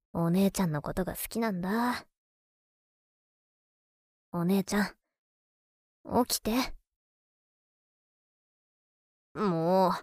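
A woman plays a doting, masochistic younger brother in an intimate audio drama. The voice is soft and coaxing, with a teasing edge and a medium pitch. The delivery is unhurried and lingering, in a very dry recording with no room sound. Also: dry recording. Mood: pleading, excited, then playful, longing. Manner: soft, intimate, coaxing, sometimes dropping to whispers. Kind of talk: intimate Japanese audio drama, murmured monologue to a sleeping listener.

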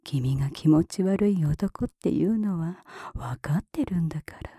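A woman voicing a cool, mocking, dominant woman in a whispered ASMR roleplay. She speaks very close to the mic, in a soft whisper broken by taunting laughs. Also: deep voice, expressive, moderate pace, husky, no room sound. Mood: teasing, mocking. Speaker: voice actress.

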